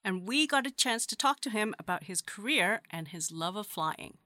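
A woman's voice: medium pitch, lively intonation, normal speed. A woman co-hosting a show, giving upbeat scripted links. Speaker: podcast co-host. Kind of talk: scripted narration.

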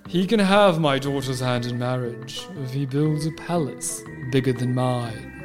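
A man's voice: mid-range voice, measured pace, natural voice. A man playing a proud, demanding king in a folktale radio play. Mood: haughty. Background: music.

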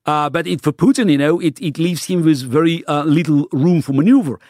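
A man's voice: fairly high pitch, brisk, darkish tone, no echo, studio quality. A middle-aged man, answering interview questions earnestly, often restarting and repeating words as he searches for phrasing. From Soviet Union (Baku). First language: Russian. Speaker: interview guest.

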